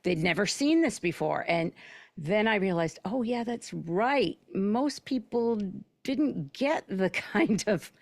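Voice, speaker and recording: A woman's voice: medium pitch, lively intonation, slow, no room sound. A middle-aged woman chatting casually on a panel, telling anecdotes and acting out what people said. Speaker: panelist, performer.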